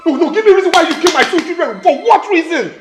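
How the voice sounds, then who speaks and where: Man playing a grieving dad yelling accusations, voice jumping all over. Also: very high-pitched, expressive, very fast. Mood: furious. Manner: shouting, stammering, furious. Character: grieving father accusing his wife. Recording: echoey room with music.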